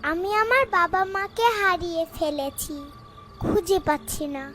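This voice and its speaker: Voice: very high-pitched, lively intonation, a little slow, bright, forceful tone, a little hiss or hum, heavily acted. A performer voicing a sad, lost little girl in a cartoon story, small-voiced and plaintive.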